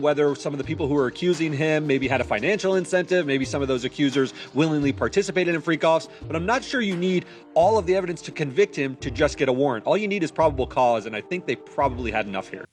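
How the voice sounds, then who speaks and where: A man giving unscripted legal commentary on a news panel, explaining in a measured way. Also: on the high side.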